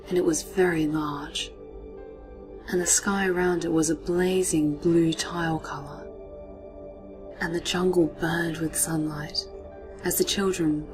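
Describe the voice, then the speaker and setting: A woman reading a story aloud, often dropping into hushed, near-whispered narration. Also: fairly low pitch.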